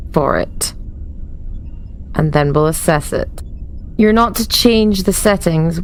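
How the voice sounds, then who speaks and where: A woman's voice: fairly low pitch, slight background noise. A woman voicing a stern, unimpressed female starship commander who reprimands with authority in a tabletop game.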